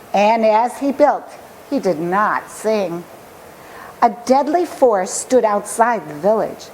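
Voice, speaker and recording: A woman storyteller reading a children's picture book aloud with expressive, deliberate phrasing. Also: medium pitch, slight background noise, rough recording.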